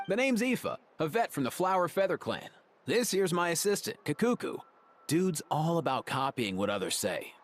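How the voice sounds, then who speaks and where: An actor playing a laid-back, friendly young veterinarian in a video game, talking in breezy dude slang. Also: male voice, fairly high pitch, very animated, normal speed. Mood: breezy, admiring. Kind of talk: video game character dialogue.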